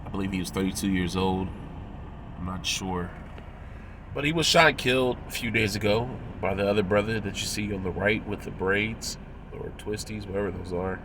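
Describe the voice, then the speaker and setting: A man in his thirties with a cold, sounding nasal and congested, speaking in a measured, moralizing way as he comments on a video. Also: on the low side, background noise. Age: 30s. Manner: measured, moralizing, pausing often. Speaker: religious commentator.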